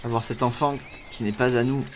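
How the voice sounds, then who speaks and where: An actor playing a polite young baker's apprentice in an audio drama, speaking with some hesitation. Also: male voice, mid-range voice, fairly even intonation, fairly quick, noisy background, close to an everyday voice. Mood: uneasy, reluctant. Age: young adult.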